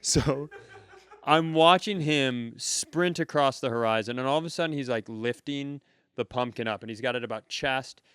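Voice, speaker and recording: A man co-hosting a comedy podcast, telling stories in loose, profane, animated chat and laughing often. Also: medium pitch, moderate pace, smooth, no room sound. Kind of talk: casual storytelling chat. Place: comedy club, live.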